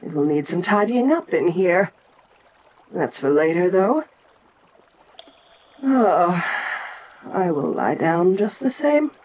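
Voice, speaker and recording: A woman playing an ancient, imperious giant monster queen in an audio roleplay, speaking in a regal, deliberate and musing manner with frequent pauses. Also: fairly low pitch, big pitch swings, a little mellow, heavily acted, poor audio. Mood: matter-of-fact, commanding. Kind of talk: slow in-character audio roleplay monologue.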